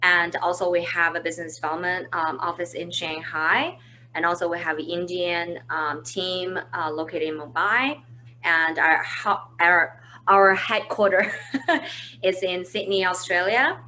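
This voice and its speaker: A woman's voice: fairly low pitch, fairly even intonation, unhurried, sharp tone, a little hiss or hum. A woman hosting a conversational business webinar from home, easygoing and full of fillers and restarts. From China. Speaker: webinar host, marketing manager. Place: at home, indoors.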